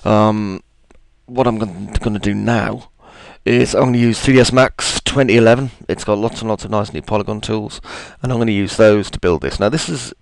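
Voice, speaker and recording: A man with a gravelly, deep voice, chatting his way through a software tutorial with plenty of ums and asides. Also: steady tone, normal speed, no echo. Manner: chatty, self-deprecating, thinks aloud with ums. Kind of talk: screen-recorded software tutorial.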